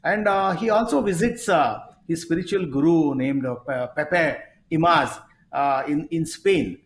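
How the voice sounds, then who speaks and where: Halting Indian man in an echoey room. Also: on the high side. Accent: Indian English. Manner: halting, many fillers, rising emphasis. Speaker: talk show host, sports psychology coach. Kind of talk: conversational interview talk. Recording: roomy echo.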